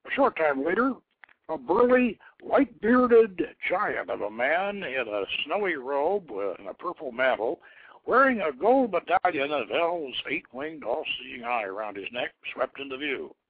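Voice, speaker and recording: A man's voice: on the high side, very animated, unhurried, slightly rough, dry recording. Man narrating over a thin phone line. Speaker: host reading his own novelette. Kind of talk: scripted story narration.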